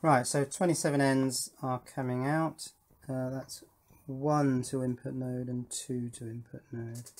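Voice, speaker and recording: Hobbyist presenter, male, mid-pitched voice; casual, thinking-aloud workbench narration. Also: flat delivery, measured pace. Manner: thinking aloud, asking himself tag questions.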